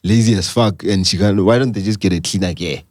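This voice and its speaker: A man with a South African accent co-hosting a podcast, talking loudly and animatedly, swearing freely in casual chat. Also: low-pitched, fairly quick, no room sound, studio quality. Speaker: podcast co-host.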